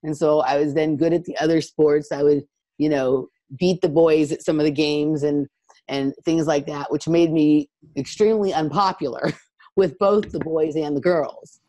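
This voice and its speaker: A woman with a deep voice speaking candidly and reflectively in a discussion, with lots of fillers. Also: steady tone, normal speed, mellow. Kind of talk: conversational discussion.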